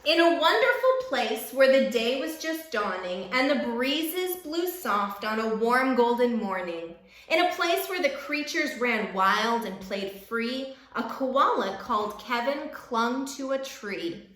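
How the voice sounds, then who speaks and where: Female storybook reader, teacher type. Very bright, fairly high voice, encouraging, in an echoey room. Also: animated, takes it slowly, few pauses. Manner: encouraging, addressing children directly. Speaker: teacher reading a picture book aloud. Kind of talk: expressive children's storybook read-aloud.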